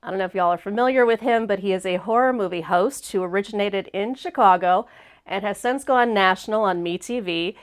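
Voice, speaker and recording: A woman hosts a casual live chat show, a little congested and sniffly as she talks. Her voice sits at an ordinary middle pitch. She stays chatty and upbeat and laughs easily. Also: fairly quick, clear, resonant tone. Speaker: host.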